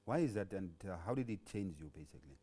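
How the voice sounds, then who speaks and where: A male interviewer calmly asking his guest questions, with frequent fillers. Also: low voice, fast talker, breathy edge. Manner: calm, attentive, frequent fillers. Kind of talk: interview, backchannelling.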